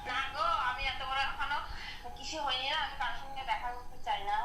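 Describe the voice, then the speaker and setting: A woman's voice: sits high, steady tone, fast talker, pushed and bright, soft background music. Woman on a distant echoey speakerphone. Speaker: YouTuber receiving a call. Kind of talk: phone conversation. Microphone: phone on speaker.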